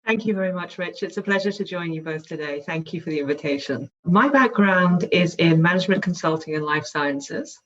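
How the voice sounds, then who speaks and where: A woman's voice: fairly low pitch, fairly quick, hardly any pauses, a bit bright, lo-fi sound. A woman from England with a London accent, a startup CEO speaking in a composed, articulate way in a podcast interview. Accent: London English.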